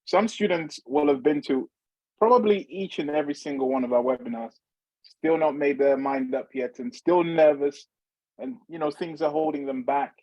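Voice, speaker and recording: Husky, lo-fi male webinar host. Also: fairly high pitch, normal speed, no room sound. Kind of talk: hosting an online webinar interview.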